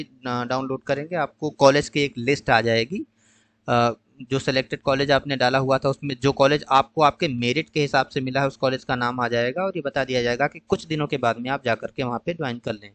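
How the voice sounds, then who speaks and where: A man patiently walking viewers through an online counselling process in a screen-share tutorial, pausing often with "uh". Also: mid-range voice, a bit bright, no echo. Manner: patient, explanatory, mixes English phrases with frequent "uh" pauses. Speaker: tutor explaining a college allotment process.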